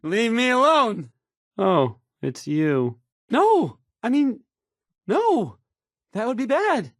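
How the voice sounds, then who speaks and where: Nervous, stammering young radio DJ. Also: male voice, very high-pitched, huge pitch swings, slow pace, fairly bright tone, no echo, studio quality, heavily acted. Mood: anxious, cornered. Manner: nervous, stammering, hesitant, apologetic. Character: young, timid, awkward, self-doubting male radio DJ. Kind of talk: post-apocalyptic video game voice acting.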